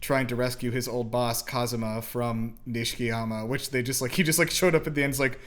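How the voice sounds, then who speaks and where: Guy hosting a movie chat, medium pitch, kinda rambly, keeps interrupting himself. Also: fairly even intonation, fairly quick, a bit bright. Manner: rambling, hedging, many self-interruptions. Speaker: movie reaction host. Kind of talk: casual film review chat.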